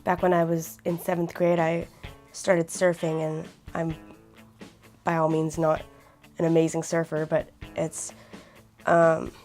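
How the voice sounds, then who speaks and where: An eighteen-year-old woman with a raspy voice who keeps clearing her throat, speaking earnestly and reflectively in a documentary interview. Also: lowish voice, a little mellow. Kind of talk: personal documentary testimony.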